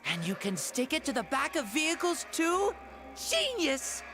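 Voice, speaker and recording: A woman's voice: sits high, huge pitch swings, measured pace, exaggerated voice. A woman voicing a playful, curious ancient hero in a youthful body for a video game, with a teasing, amused manner. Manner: teasing, amused, wonder-struck. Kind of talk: video game character acting. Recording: game music behind the voice.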